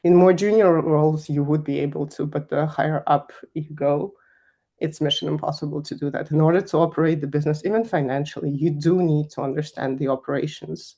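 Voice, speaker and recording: Candid young woman, warm mellow voice. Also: low-pitched, fairly even intonation, brisk. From Kazakhstan. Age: young adult. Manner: candid, laughs often, emphatic. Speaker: guest finance executive. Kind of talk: conversational interview answers.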